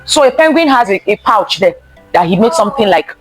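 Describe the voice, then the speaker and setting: A woman's voice: medium pitch, sing-song, a little fast, no room sound, soft background music, poor audio. A woman reading a picture book aloud to children, expressively, repeating phrases and stopping to ask them questions. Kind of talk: interactive children's story time read-aloud.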